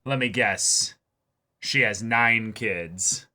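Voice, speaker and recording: A man's voice: fairly low pitch, very slow. A man giving casual, exclamation-heavy live reaction commentary to an anime episode, swearing freely. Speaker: reaction show host. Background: show soundtrack music.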